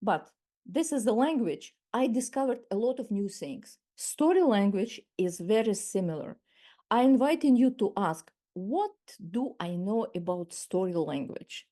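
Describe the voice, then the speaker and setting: A woman of around sixty from Ukraine, with a Ukrainian accent and Ukrainian as her first language. She gives a measured webinar masterclass over a video call and often restarts and repeats words. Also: medium pitch, expressive, warm tone. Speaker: masterclass speaker. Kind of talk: webinar talk.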